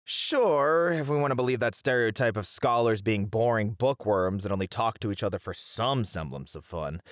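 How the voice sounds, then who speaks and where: A man's voice: medium pitch, no room sound, clean studio sound. A man playing a flamboyant literature teacher in a scripted ASMR roleplay, speaking in a playful, flirtatious, theatrical way. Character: flamboyant, teasing, dramatic male literature teacher.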